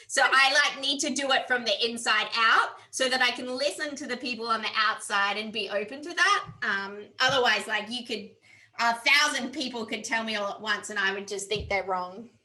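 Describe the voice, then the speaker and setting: A woman's voice: a little high, normal speed, sharp tone. A woman on a video call panel, talking in a thoughtful, hesitant way with many fillers. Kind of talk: casual panel chat.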